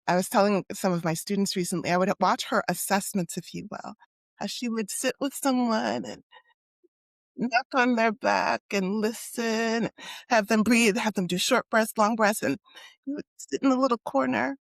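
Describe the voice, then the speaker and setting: A woman whose first language was Haitian Creole, a psychologist speaking in a thoughtful, measured way. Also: fairly high pitch, fairly even intonation, creaky, dry recording. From United States (New York and Miami). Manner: thoughtful, measured, with soft fillers. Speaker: guest, clinical and community psychologist. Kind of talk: reflective conversational interview.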